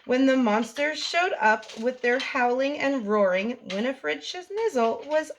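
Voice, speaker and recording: A woman reading a picture book aloud to young children as a storytime host, in an expressive sing-song delivery. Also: high-pitched, a little slow, slight background noise.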